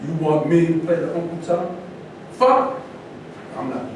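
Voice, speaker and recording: Heard from far off in a very echoey room with rumbling noise, a man acts out a proud, defiant male boxing champion in a stage reading. He is emphatic and combative. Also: lively intonation, measured pace. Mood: scornful, heated. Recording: echoey room, distant mic, noisy rumble.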